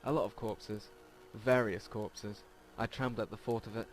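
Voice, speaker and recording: A man's voice: on the low side, steady tone, moderate pace, low-quality recording. Man reading aloud over music. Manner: stumbles and repeats words while reading. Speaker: let's play host. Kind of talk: reading visual novel text aloud. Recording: game music behind the voice. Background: game music.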